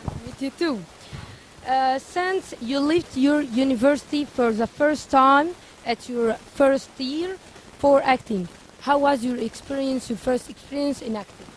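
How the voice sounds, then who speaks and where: Lady host w/ high voice doing interview intro and questions, bit of background noise. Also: unhurried, low-quality recording.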